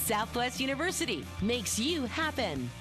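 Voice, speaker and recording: Female voice-over, persuasive and animated, higher pitch, music under. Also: moderate pace. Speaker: ad announcer. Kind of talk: college commercial read.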